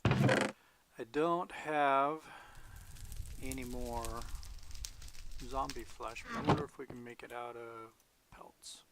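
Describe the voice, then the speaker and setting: A man with a gravelly, creaky voice talks slowly through his game, muttering and half-whispering to himself as his voice starts to go. A steady hiss of noise sits under the recording. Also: medium pitch. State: losing his voice. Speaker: gaming video host. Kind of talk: casual solo gameplay commentary.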